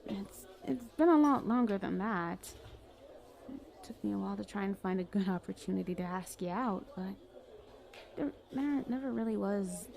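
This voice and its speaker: A woman playing a smug, lovestruck female villain in an ASMR roleplay, coaxing and teasing the listener in a creaky, conversational voice. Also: mid-range voice, moderate pace, a little mellow, natural voice. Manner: teasing, cajoling, conversational with hesitant stammers. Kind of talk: ASMR roleplay, addressing the listener as love interest.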